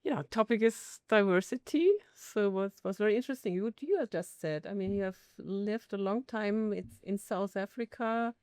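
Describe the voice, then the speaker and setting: A woman in her fifties hosting a company podcast, speaking in a measured way with pauses and fillers. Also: mid-range voice, a little mellow, clear, resonant tone, no room sound, studio quality. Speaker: podcast host. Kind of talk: corporate podcast conversation.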